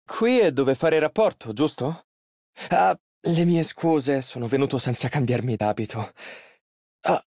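A man's voice: a little high, darkish tone, no echo, clean studio sound, exaggerated voice. An actor playing a polite, earnest and easily flustered young male tailor in an Italian game dub. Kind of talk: video game character voice lines, Italian dub.

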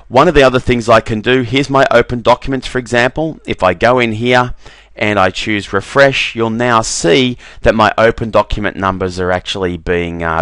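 A man talking through a software demo over a screen recording, relaxed, with frequent "uh" fillers and doubled words. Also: lowish voice, dry recording. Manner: relaxed, repeats "very, very" and fills with "uh". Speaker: software demonstrator.

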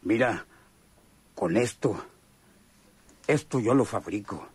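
A man's voice: lowish voice, lively intonation, slow pace, breathy edge, no room sound, exaggerated voice. A Mexican man acting a chummy, sly, hardened convict in a radio drama, talking in slangy, hesitant phrases. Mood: sly, confiding. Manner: slangy, hesitant drawl with knowing chuckles.

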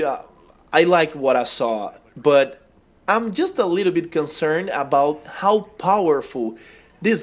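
A young man with a Brazilian Portuguese accent talks through a game review in English, his second language. His voice sits a little high for a man, and his delivery is eager and casual. He now and then stumbles and restarts a phrase. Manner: enthusiastic, casual with hesitant restarts. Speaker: gaming video host.

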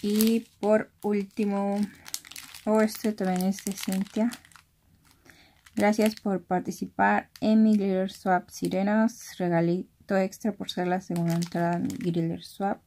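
A young Mexican woman talks softly and slowly, casually narrating a craft unboxing. Her voice stays low in volume, and there is faint noise with packaging crinkling around her. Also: little pitch movement. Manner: soft-spoken, keeping voice down because others are sleeping. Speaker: craft vlogger. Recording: noisy room with handling rustle and crinkling. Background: clicks, crumpling and crinkling of packaging.

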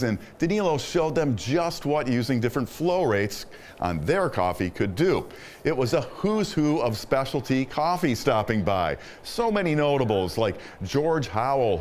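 A middle-aged man narrates a scripted voice-over, his pitch rising and falling freely. He sounds enthusiastic and upbeat, in a mid-range voice. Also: darkish tone, slight background noise. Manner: enthusiastic, upbeat presenter delivery. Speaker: host.

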